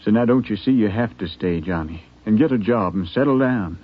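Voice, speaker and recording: A man playing a husky, coaxing frontier townsman. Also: lowish voice, brisk, natural voice. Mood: coaxing, concerned. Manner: folksy, hesitant, coaxing. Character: friendly, concerned frontier townsman, plain-spoken and persuasive. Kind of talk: 1950s radio drama acting. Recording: thin, phone-like 1950s radio broadcast.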